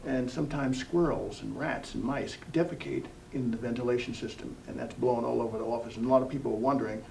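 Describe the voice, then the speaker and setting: A man's voice: medium pitch, steady tone, fast talker, gravelly, darkish tone. A man hosting an interview program in a casual, rambling, conversational way. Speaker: TV program host. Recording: distant mic with faint room hiss.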